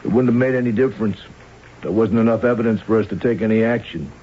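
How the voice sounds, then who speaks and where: An actor playing a methodical police arson captain in a 1970s radio drama, putting questions in a clipped, matter-of-fact way. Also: male voice, on the low side, quick pace, close to an everyday voice. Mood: grave, matter-of-fact. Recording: dull, hissy vintage radio broadcast.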